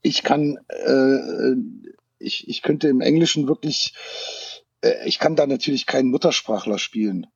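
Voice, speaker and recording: A man's voice: medium pitch, a little slow, gravelly, slightly rough, no room sound, lo-fi sound. A male actor, a guest in a casual interview, talking candidly and searching for his words over a call.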